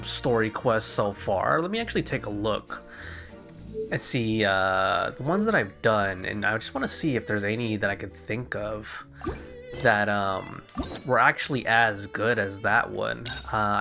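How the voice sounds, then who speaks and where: A man's voice: lowish voice, expressive, a little slow, vocal fry, music in the background. A man giving casual let's play commentary as a gaming streamer, rambling and thinking aloud.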